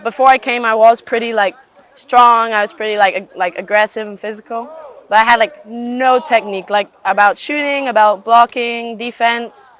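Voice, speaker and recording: An eighteen-year-old woman from Scotland, chatting casually in an interview and often filling pauses with "like". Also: on the high side, steady tone, a bit bright. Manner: chatty, leans on "like" fillers. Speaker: student athlete.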